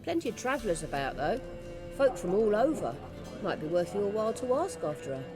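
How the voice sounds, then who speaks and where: A woman's voice: mid-range voice, very animated, brisk, over music, close to an everyday voice. An actress playing a wary village woman in a fantasy video game, talking in a folksy, matter-of-fact way. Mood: helpful.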